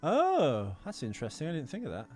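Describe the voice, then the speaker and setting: A man's voice: fairly high pitch, huge pitch swings, fairly quick, darkish tone, soft background music. A man co-hosting a podcast, casually discussing films. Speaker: podcast co-host.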